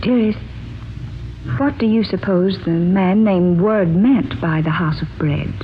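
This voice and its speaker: An actress playing a devoted, adventurous wife in a 1940s radio drama, with poised, theatrical delivery. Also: female voice, lowish voice, a little slow, close to an everyday voice. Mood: tender, eager. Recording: noisy, muffled old 1940s radio recording.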